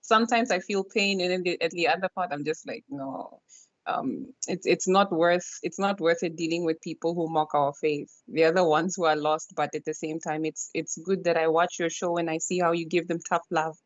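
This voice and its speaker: Woman calling in, agrees a lot and laughs, middle pitch, muffled dry line. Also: fairly even intonation, moderate pace, no room sound. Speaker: caller. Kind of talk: phone-in chat.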